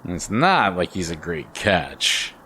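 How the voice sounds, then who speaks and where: A man's voice: deep voice, sing-song, slow pace, pushed and bright, slight background noise, full character voice. A man playing Dale, a sarcastic grump. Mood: sardonic. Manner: sarcastic, blunt, swears. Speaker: driver. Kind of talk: audio drama acting.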